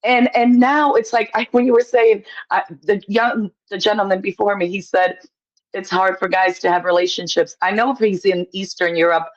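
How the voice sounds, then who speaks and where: A woman's voice: medium pitch, steady tone, normal speed. Lady calling in to a talk show, opinionated n emphatic, kinda muffled lofi sound. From Poland. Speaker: call-in guest. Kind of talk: opinionated casual chat.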